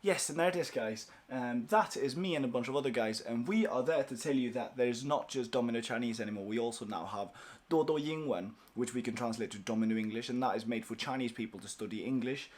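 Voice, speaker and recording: A young man whose first language is Swedish chats to viewers from a small office. His voice sits at a middling pitch. He sounds upbeat and grateful, and he rambles a little with ums and uhs. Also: fast talker, darkish tone. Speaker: language course founder. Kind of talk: casual company update to viewers.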